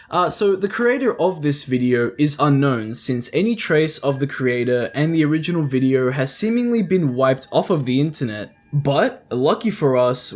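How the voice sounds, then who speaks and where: A man hosting a video essay, reading scripted commentary in a casual, slangy way. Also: mid-range voice. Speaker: YouTube host and commentator.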